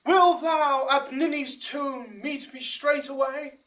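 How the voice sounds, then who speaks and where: Hammy lover-knight played by a woman, high slow declamation, overwrought Shakespearean verse, distant mic with crickets. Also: fairly even intonation, fairly bright tone. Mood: lovesick. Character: hammy amateur actor as tragic lover knight. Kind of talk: mock-tragic Shakespearean verse.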